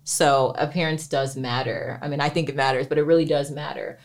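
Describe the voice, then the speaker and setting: Woman, young adult, attorney, low voice, relaxed, casual chat. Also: fairly quick. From US, grew up moving around the South and California. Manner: relaxed, chatty, peppered with "like". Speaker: attorney, podcast guest. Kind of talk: casual podcast conversation.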